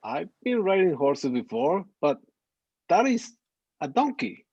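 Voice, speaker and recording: A man acts an easygoing neighborhood sidekick in a drama read-through. He speaks slowly and casually, a little wary, in a roomy, slightly dull recording. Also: on the high side, sing-song, darkish tone, husky, full character voice. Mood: puzzled. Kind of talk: screenplay table read acting. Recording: muffled, roomy sound.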